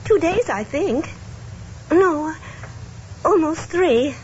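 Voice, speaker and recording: A high, wavering-in-pitch old lady, frail, anxious and muddled, speaks hesitantly. It is vintage radio drama with muffled sound and light noise. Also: lively intonation, very slow, a little mellow, full character voice. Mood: confused, anxious. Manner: hesitant, fluttery. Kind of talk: old-time radio acting.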